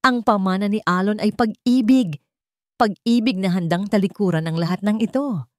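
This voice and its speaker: A woman's voice: mid-range voice, moderate pace, no echo, clean studio sound, lightly acted. A Filipino woman voicing a wronged, resolute young heiress in a dramatic story, with firm, emphatic declarations. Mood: bitter, angry. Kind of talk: dramatic storybook character reading.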